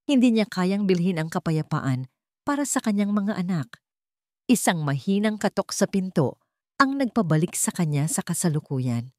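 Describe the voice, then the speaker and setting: Low-pitched, expressive woman storyteller. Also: normal speed, dry recording, clean studio sound. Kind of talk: dramatic scripted narration of a sentimental love story.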